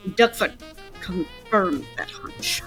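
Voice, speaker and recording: A woman's voice: fairly low pitch, expressive, takes it slowly, a bit bright, faint music behind. A woman playing an earnest, baffled police detective in a radio play, speaking emphatically. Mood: puzzled, probing. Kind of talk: radio play table read.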